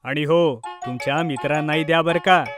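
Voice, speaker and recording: A kindly cartoon father acted by a man, gentle and reassuring with his children. His voice sits high for a man, and music plays softly behind it. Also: steady tone, moderate pace, close to an everyday voice. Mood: flustered, reassuring. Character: kindly, apologetic father of two schoolchildren.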